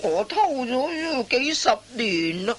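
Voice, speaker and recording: A woman playing a veteran master thief, an exasperated mentor who scolds and lectures in drawn-out exclamations in a Cantonese radio comedy. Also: fairly high pitch, sing-song, fairly bright tone, heavily acted. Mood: grumbling.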